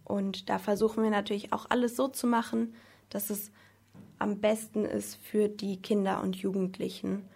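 A woman's voice: mid-range voice, level tone, moderate pace, creaky, a little mellow, slightly rough. A teenage girl answering interview questions as a student representative, explaining things earnestly in simple language. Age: teens.

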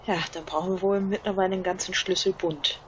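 A woman's voice: lowish voice, fairly even intonation, quick pace, dull audio, slight background noise. A woman playing as a contestant, talking casually through an interactive game. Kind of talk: casual game play discussion.

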